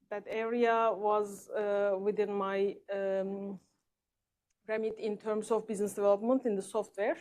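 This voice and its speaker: A woman from Turkey speaks on a live panel at a staged event. Her voice sits fairly high and she talks in a friendly, halting way full of filler pauses. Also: darkish tone. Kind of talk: panel introduction. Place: event stage in a large hall.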